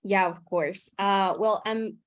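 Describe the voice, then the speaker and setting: A woman's voice: mid-range voice, steady tone, takes it slowly. A woman with a Colombian accent, a native Spanish speaker, casually introducing herself as a co-founder. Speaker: association co-founder.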